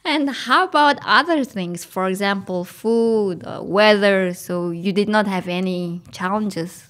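A woman's voice: medium pitch, unhurried, a bit bright. Hesitant young woman podcast host. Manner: friendly, hesitant fillers. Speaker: podcast host, junior researcher. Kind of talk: hosting interview.

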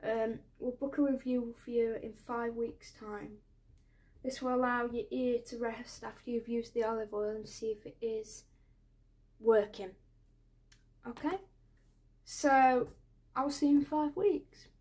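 A woman in her twenties speaking softly in an ASMR medical roleplay as an ear doctor, hesitant and reassuring. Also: a little high, fairly even intonation, unhurried, pauses often, poor audio. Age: 20s. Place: small bedroom, indoors.

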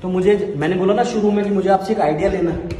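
A man's voice: high voice, fast talker, mellow. A young man talking casually to camera as a fitness vlogger, explaining things directly to viewers. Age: young adult. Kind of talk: casual vlog talk to camera. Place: unfinished building, rooftop and open balcony. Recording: clear background noise, outdoor ambience, traffic.

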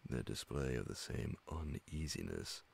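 Very deep, gravelly man reading a bedtime story. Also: big pitch swings, a little slow, a little hiss or hum. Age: middle-aged. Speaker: narrator reading classic horror stories. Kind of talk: ASMR audiobook-style bedtime story reading. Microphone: large studio condenser microphone close beside him, headphones on.

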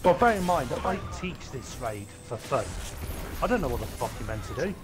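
A man's voice: medium pitch, big pitch swings, fairly quick, over music. Joking man leading a raid. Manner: joking, swears. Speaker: raid leader. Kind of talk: casual gaming chat.

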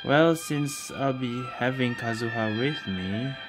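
Woman, extremely low voice, casual game commentary, short muttered reactions, loud game music behind. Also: slow. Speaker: gamer commenting on own playthrough.

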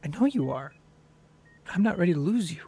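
An actor playing a plain-spoken, weary husband in a radio drama. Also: male voice, high voice, sing-song, brisk, gravelly, warm tone, slightly rough, full character voice. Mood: tender, weary. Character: skeptical, weary husband, later softened and grateful.

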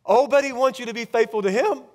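A man in his thirties preaching a sermon on a large church stage with a headset mic, swinging between loud bursts and hushed lines. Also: extremely high pitch, fast. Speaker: pastor.

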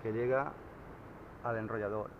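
Relaxed man explaining on a kayak. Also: on the low side. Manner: relaxed, explains slowly with fillers. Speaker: kayak sailing instructor. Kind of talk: casual how-to explanation on the water. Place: on a sail kayak at sea. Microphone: action camera mounted on the kayak. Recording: dull lo-fi camera audio, faint wind.

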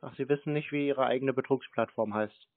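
A man's voice: mid-range voice, brisk, no room sound. A man talking on a phone call in a terse, contrarian way. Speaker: prank call recipient baiting scammers. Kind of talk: phone conversation. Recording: narrowband phone line, dry.

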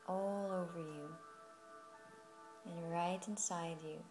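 A woman leading a guided meditation as a yoga teacher, speaking in a soothing, unhurried way with long pauses. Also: on the low side, fairly even intonation. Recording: music playing behind the voice. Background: music.

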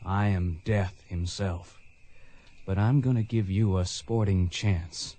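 Solemn avenger in a radio western, deep-ish and dark, very deliberate. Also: male voice, steady tone. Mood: menacing. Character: grim blind young avenger posing as Death. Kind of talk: radio drama acting.

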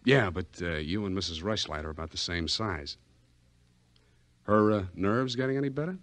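Wisecracking 40s radio detective, low voice, cool and sardonic. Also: male voice, normal speed, a bit bright, dry recording. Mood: wry. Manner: dry, sardonic, clipped. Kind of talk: 1940s radio acting.

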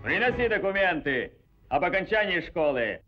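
A man voicing a hearty, commanding naval officer in an animated cartoon, with exclamatory military delivery. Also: moderate pace. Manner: booming, exclamatory military orders. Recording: muffled old film soundtrack with music.

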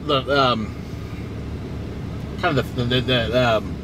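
A middle-aged man in a car, stammering haltingly and trailing off as he plays a confused drive-thru customer. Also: mid-range voice. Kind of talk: hidden-camera prank chat. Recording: noisy car with engine and street sound.

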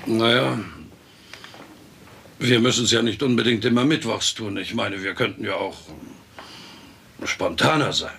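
A voice actor playing a gruff, flustered middle-aged husband and father in a dubbed sitcom, blustering and evasive. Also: lowish voice, steady tone, measured pace, long pauses, fairly bright tone, a little hiss or hum. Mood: hesitant. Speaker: voice actor in a German sitcom dub.

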